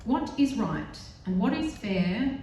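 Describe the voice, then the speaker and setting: A woman's voice: fairly high pitch, big pitch swings, slow pace, dark tone, slight background noise. A woman from Australia with an Australian accent giving an earnest, determined prepared speech on a panel, with light self-deprecating asides. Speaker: panel speaker. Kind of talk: prepared speech at a live event. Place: large synagogue hall. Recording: very echoey hall from far off.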